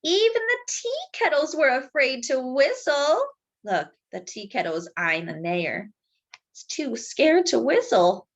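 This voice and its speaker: A woman reads a picture book aloud to children. Her voice is fairly high and swoops expressively, with slow, drawn-out emphasis. The sound is a little dull and lo-fi. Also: very animated. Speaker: children's storyteller. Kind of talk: expressive picture-book read-aloud with asides to young listeners.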